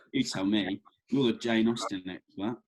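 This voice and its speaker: A man's voice: lowish voice, background noise. A man acting an affable, cheeky, slightly hapless young man in a comedy script read, with hesitant, wry colloquial delivery.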